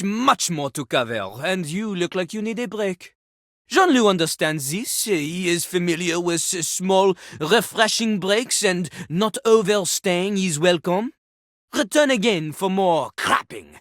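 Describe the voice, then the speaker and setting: A woman's voice: fairly low pitch, no echo, clean studio sound. A woman voicing a cocky male talking toilet who fancies himself a rapper, with a French accent and a theatrical, pompous manner in a video game. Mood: pompous, scornful. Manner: pompous, theatrical, refers to himself in third person. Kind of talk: animated video game character acting.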